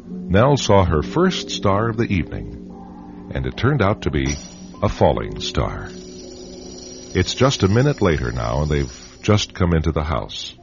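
Deep-voiced dramatic radio narrator man. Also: vocal fry. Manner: dramatic, measured storytelling. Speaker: host and narrator. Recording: dull radio recording over music.

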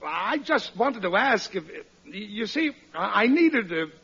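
Bright, forceful flustered boss. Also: male voice, high-pitched, big pitch swings, breathy edge, exaggerated voice. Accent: American. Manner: hesitant, halting. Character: polite, flustered big-business boss. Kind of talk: classic American radio sitcom acting. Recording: muffled vintage radio recording.

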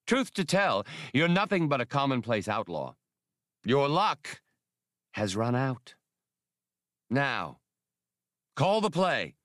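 A man's voice: medium pitch, very animated, slow pace, long pauses, fairly bright tone, no echo, clean studio sound, pitched above the performer's own voice. A man reading an audiobook, voicing a condescending gunman villain with formal, menacing courtesy. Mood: cold disdain. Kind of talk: audiobook character dialogue.

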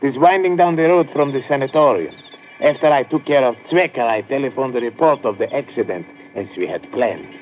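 A man's voice: mid-range voice, brisk, lightly acted. A man playing an earnest, helpful village farmer, formally in an old radio drama. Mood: earnest, explaining. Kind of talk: 1950s radio drama acting. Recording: noisy, dull vintage radio recording.

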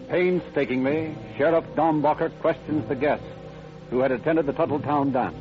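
An actor playing the solemn, deliberate narrator of an old-time radio crime drama. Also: male voice, a little high, lightly acted. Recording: dull vintage radio recording. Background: music.

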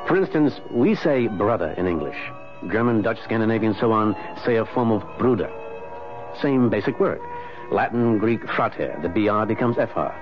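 Insistent, scholarly husband played by a male actor, lowish expressive voice, emphatic and halting, vintage radio drama. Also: over music, natural voice. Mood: eager. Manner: emphatic, repeats himself, halting. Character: Spencer, anguished, insistent husband with scholarly dreams. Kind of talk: 1970s radio drama acting. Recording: muffled vintage radio broadcast.

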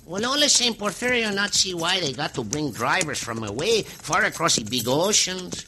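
Radio western sidekick: fearful, excitable Mexican burro man, thick accent, very animated and high. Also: moderate pace, full character voice. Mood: grumbling, simple. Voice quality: broken English, exclamatory. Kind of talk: old-time radio western acting. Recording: noisy vintage radio recording.

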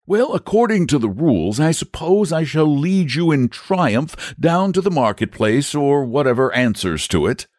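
A man's voice: on the high side, very animated, moderate pace, few pauses, darkish tone, dry recording, studio quality, voice pushed up. A man voicing an earnest, patient, confident storybook knight who reasons in an eager, persuasive way. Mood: explaining. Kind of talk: storybook character voice.

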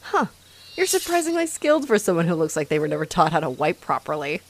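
A woman's voice: low voice, very animated, fast talker, exaggerated voice. A woman playing a haughty, sarcastic female vampire in a roleplay audio drama, mocking and theatrical as she taunts her rival. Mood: grudging, irritated.

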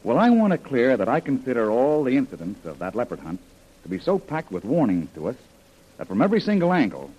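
Husky, resolute radio-serial adventurer. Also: male voice, mid-range voice, huge pitch swings, brisk, mellow. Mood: firm, grave. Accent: American. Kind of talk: 1930s radio serial acting. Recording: muffled, dull vintage radio recording.

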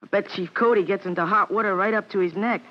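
A man's voice: high-pitched, steady tone, quick pace, natural voice. A male actor playing an eager, naive schoolboy, boyish and plainspoken, in old-time radio drama. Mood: discouraged. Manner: boyish, wide-eyed, plainspoken. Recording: dull vintage radio recording.

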